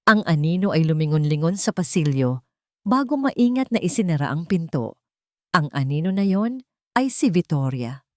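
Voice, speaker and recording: A woman's voice: sits low, sing-song, normal speed, no room sound, studio quality. A woman narrating a dramatic scripted story as a storyteller.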